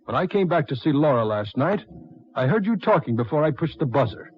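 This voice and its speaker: An actor in a 1940s radio drama playing a scheming, murderous doctor who is cold but easily rattled. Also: male voice, on the high side, very animated, a little fast, husky. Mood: coldly bargaining. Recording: dull, muffled 1940s radio recording.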